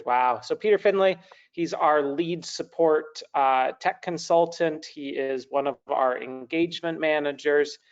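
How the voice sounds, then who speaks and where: Man, 30s, somewhat high voice, upbeat rambling host, dull laptop-mic sound. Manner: upbeat, rambling with many restarts. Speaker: webinar host. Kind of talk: webinar hosting. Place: home room with brick wall, indoors. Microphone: video call, laptop mic. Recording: dull lo-fi video call audio.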